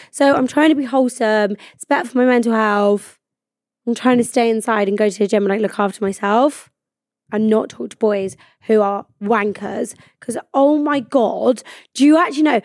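A woman in her twenties, a little high-pitched, hosts a podcast from a studio. Her voice is croaky from a cold, and she chats about herself with loose, freely sworn stories. The sound is close and dry with no room echo. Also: a little mellow. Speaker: podcast host. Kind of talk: casual chat.

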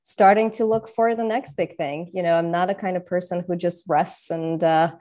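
A woman's voice: on the low side, fairly even intonation, normal speed, dark tone. A woman in her thirties from Russia, answering interview questions in an articulate way with frequent fillers. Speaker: guest entrepreneur.

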